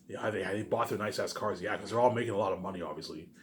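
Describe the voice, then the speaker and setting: A man's voice: deep voice, fast talker, slight background noise. A man giving an informal, rambling monologue, repeating himself and restarting phrases, with emphatic tag words. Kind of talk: rambling informal monologue about personal plans.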